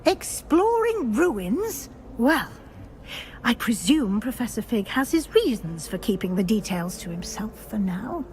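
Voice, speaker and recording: An actress playing a brisk, exacting witch professor in a video game, with a British accent and a crisp, formal schoolmistress manner. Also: a little high, sing-song, darkish tone, slight background noise. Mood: probing. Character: brisk, exacting female witch professor guiding a new student. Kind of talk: video game character dialogue.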